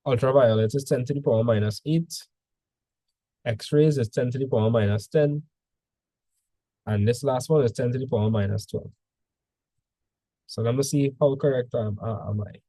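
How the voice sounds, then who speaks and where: A man's voice: mid-range voice, moderate pace, long pauses, dark tone, dry recording. A male teacher tutoring students in a casual session, full of "like" fillers, quizzing them with questions as he goes.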